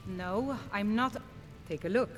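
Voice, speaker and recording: High-pitched market shopkeeper. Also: male voice, slow, background noise. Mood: brisk. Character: busy market shopkeeper calling to customers. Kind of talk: fantasy video game character dialogue.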